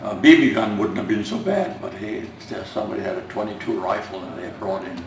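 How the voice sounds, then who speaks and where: Older Finnish-American man, gravelly and rambling. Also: on the low side, animated, a little fast. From United States. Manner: rambling and hesitant, many fillers and restarts. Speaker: oral history interviewee. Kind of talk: reminiscing in an oral history interview. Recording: roomy, distant, noisy home recording.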